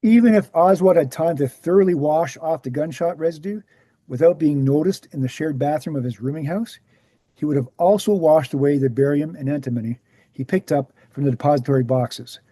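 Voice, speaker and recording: A man's voice: a little high, a little fast, warm tone, no echo, natural voice. A man playing a jovial, assured older researcher who can turn hard, in a screenplay table read. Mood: assured explaining. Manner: assured, explanatory.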